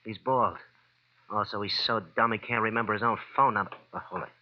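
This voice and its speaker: An actor playing a menacing, short-tempered little crook in a radio crime drama, with gruff American tough-guy slang. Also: lowish voice, a little fast, slight background noise, natural voice. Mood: suspicious. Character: dirty little long-haired male thug, menacing and short-tempered. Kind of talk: 1940s radio crime acting. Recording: muffled vintage radio recording.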